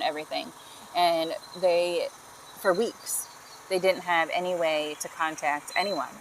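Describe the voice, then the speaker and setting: Chatty woman, low voice. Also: fairly bright tone, a little hiss or hum, poor audio. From Texas. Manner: chatty, friendly, uses y'all. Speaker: host. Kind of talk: casual product demo vlog.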